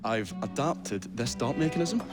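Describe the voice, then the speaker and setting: An actor playing a modest, eager young Victorian inventor with a hesitant, earnest, slightly flustered manner in a video game. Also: male voice, mid-range voice, animated, normal speed, over loud music, lightly acted. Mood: earnest, explaining. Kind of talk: dramatic video game acting.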